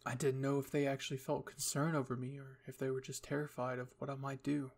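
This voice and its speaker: A man's voice: mid-range voice, little pitch movement, fast, creaky. A man reading a scripted story in the first person as its narrator. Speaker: fan fiction reader.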